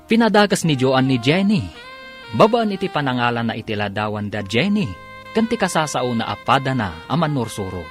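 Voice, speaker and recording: A man with a Filipino accent narrating a radio drama in a scripted, dramatic style as announcer. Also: medium pitch.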